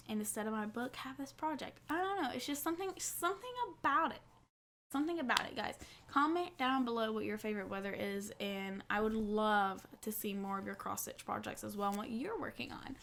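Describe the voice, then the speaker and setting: A woman's voice: fairly high pitch, creaky, a bit bright. A woman with a stuffy nose from a cold, chatting casually to camera from her couch at home and gushing, repeating herself. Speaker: cross-stitch vlogger.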